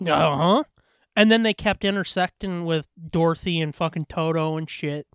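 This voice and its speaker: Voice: mid-range voice, normal speed, fairly bright tone, clean tone, no room sound, clean studio sound. A podcast co-host bantering casually about movies, slangy and foul-mouthed, with a jokingly combative way of talking.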